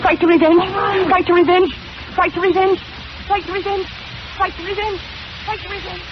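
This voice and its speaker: A woman's voice: very high voice, little pitch movement, normal speed. An actress playing a frightened, grieving woman bent on avenging her sister, melodramatic and haunted, in an old-time radio mystery. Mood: vengeful, frantic. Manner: melodramatic, haunted, repeating herself. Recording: very noisy, muffled old radio recording.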